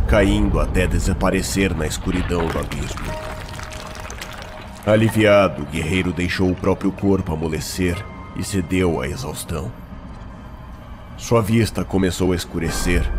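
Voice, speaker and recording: A deep-voiced Brazilian man narrates dramatic fantasy fiction. He tells the story solemnly and theatrically, with loud music running behind his voice.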